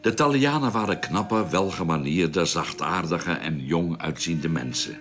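An actor playing a reflective space explorer, narrating his memoir in a measured, literary way for a radio drama. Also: sits low, expressive, music in the background.

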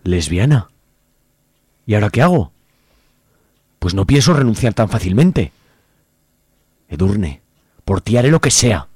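A man's voice: fairly low pitch, very animated, measured pace, pauses often, darkish tone, breathy edge, no echo, heavily acted. An actor playing a lovestruck, overwrought young man in a comic radio serial. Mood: stunned, determined. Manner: overwrought, theatrical. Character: lovestruck, melodramatic young man, desperate to win his beloved.

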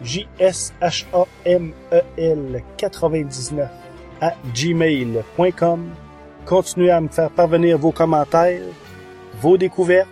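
A man's voice: fairly high pitch, takes it slowly, dark tone, faint music behind. A man giving an informal, enthusiastic podcast review with hesitant phrasing. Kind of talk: informal spoken review over music.

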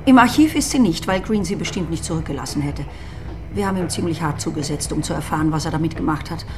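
A woman's voice: low voice, fast. An actress playing a cold, ruthless female conspirator in a radio drama, speaking with cool, controlled menace. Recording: music under the voice.

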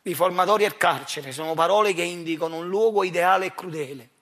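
A man with a Neapolitan accent performing a theatrical monologue, speaking straight to the audience in a measured, intense way. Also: sits high, a bit bright. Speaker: stage actor.

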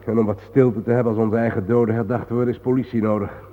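An actor playing a grave, world-weary man guiding his friend through war cemeteries in a solemn, measured, sardonic radio-play manner. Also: fairly low pitch, steady tone, close to an everyday voice. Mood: bitter, weary. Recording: muffled phone-like old radio recording, reverberant.

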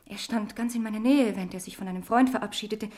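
A woman's voice: medium pitch, fast, a little mellow, close to an everyday voice. An actress playing a frightened young woman with an addiction, confessing earnestly in in a radio crime drama. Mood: confessional, tense.

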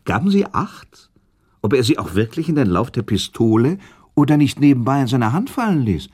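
Shrewd sceptical prince, husky animated voice. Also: male voice, mid-range voice, normal speed. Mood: probing, argumentative. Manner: insistent, argumentative, rhetorical questions. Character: noble prince, shrewd sceptic reasoning out a hoax. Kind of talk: German radio play acting.

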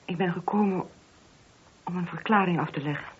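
An actress playing an anguished married woman in a radio play, speaking in a husky, halting, confessional way. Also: on the low side, slight background noise, natural voice. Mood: subdued, hesitant. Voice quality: very husky, breathy. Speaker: voice actor. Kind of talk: Dutch radio play acting. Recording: dull, muffled old radio recording.

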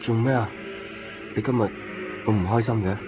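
Low-voiced young Hong Kong man acted, muffled. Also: normal speed, over music, natural voice. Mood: easygoing, concerned. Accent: Hong Kong Cantonese. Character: easygoing, kindly young male copy shop keeper, narrator. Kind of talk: radio drama acting with narration. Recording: muffled 1990s radio drama recording.

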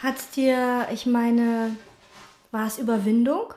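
A woman playing a brooding, obsessive chambermaid in a radio play, narrating and talking in a quiet, probing, musing way. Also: on the high side, steady tone, slow pace, echoey room, slight background noise.

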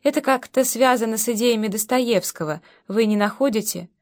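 A woman's voice: on the high side, a little fast, lightly acted. A woman voicing a shy, polite young British man who speaks hesitantly and deferentially. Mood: earnest.

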